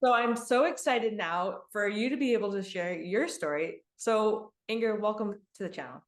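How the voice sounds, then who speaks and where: A woman hosting an interview show, welcoming her guest with clear enthusiasm. Her voice sits in the middle range and rings in an echoey room. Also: medium pitch, moderate pace. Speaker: interview host.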